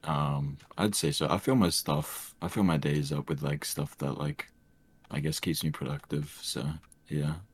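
A young man with a soft, soothing voice, chatting hesitantly as a podcast guest with many fillers. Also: a bass voice, steady tone, measured pace, slightly rough. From Australia. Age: young adult. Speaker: streamer guest. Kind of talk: casual podcast chat.

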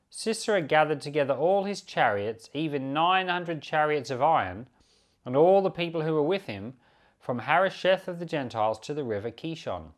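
A man with a mid-range voice speaks as a pastor presenting a Bible podcast. His intonation moves widely and expressively as he explains and reads scripture. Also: medium pitch. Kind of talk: Bible teaching and scripture reading.

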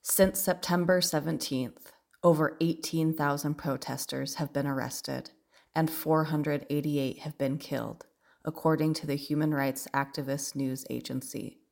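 Woman host reading her script, real low, gravelly voice. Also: steady tone, a little mellow. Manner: measured, read from script. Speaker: podcast host. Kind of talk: scripted narration.

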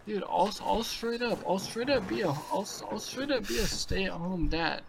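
A man's voice: high voice, normal speed, creaky, noisy background. A man in his twenties in crude, rambling casual chat on a video call, heavy with fillers and profanity. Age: 20s. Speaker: podcast co-host.

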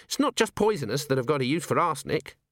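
A man's voice: fairly high pitch, big pitch swings, fast talker, a bit bright, no room sound, studio quality, voice pushed up. A man voicing an eager, respectful female dwarf alchemist in an audiobook, deferential and chirpy.

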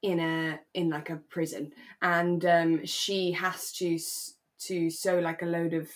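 A woman co-hosting a relaxed, chatty podcast, telling stories in a playful, jokey and animated way. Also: on the low side, slow. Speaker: podcast co-host. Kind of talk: relaxed casual chat about a radio drama.

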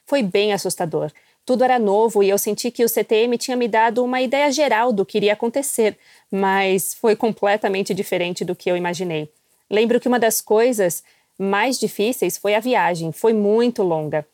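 A woman of middle pitch recalls her experiences candidly as a missionary guest. Her voice is recorded close and dry, with no room sound. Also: moderate pace, a little mellow, no echo. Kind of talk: personal storytelling.

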